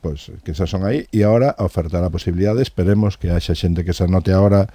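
Galician guy guest talking things through, deep mellow voice, dry close recording. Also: fast talker, no room sound. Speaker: interview guest. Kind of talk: conversational explanation.

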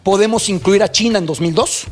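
Mexican male football pundit, high-pitched and rapid, emphatic explainer in animated panel talk, light music behind. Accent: Mexican Spanish. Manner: explanatory, enumerating points emphatically. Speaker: football commentator on a panel show.

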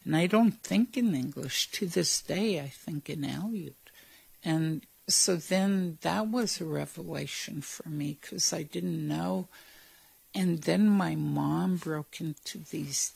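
An older woman from Alaska, speaking in a documentary interview and slowly recalling her childhood village. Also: low voice, gravelly, darkish tone, slightly rough, no echo. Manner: slow, reflective storytelling.

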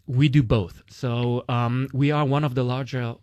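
A man's voice: mid-range voice, steady tone, measured pace, darkish tone, dry recording, lo-fi sound. A man with a Romanian accent, a guest explaining investing in casual conversation, with many fillers. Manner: uses many "like" and "you know" fillers, explains with analogies.

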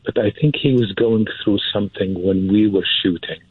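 A man's voice: lowish voice, moderate pace, slightly rough, no echo. A man from Syria, a film producer speaking as an interview guest, hesitant and repeating words for emphasis. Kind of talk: casual interview talk. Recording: dry, phone-like narrow band.

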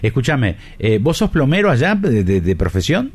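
A man's voice: medium pitch, big pitch swings, moderate pace, slightly rough. A man with an Argentine accent hosting a radio interview, chatty and jokey as he leads the talk. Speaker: radio host.

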